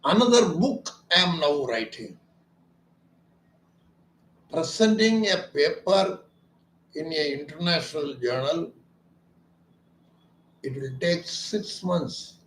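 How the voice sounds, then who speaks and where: A man's voice: a little high, animated, slow pace, long pauses, fairly bright tone, slight background noise. A man, an ENT doctor explaining his clinic's treatment in an earnest, emphatic way that often repeats its points as questions. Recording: echoey room.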